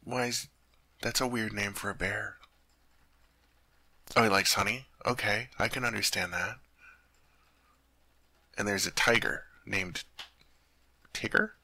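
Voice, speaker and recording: A man's voice: fairly low pitch, fairly even intonation, a little slow, pauses often, pushed and bright, no room sound, full character voice. A man playing a sweet, naive teddy bear turned human in an ASMR roleplay, chatting earnestly to the listener as he puzzles over human things. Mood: curious, eager.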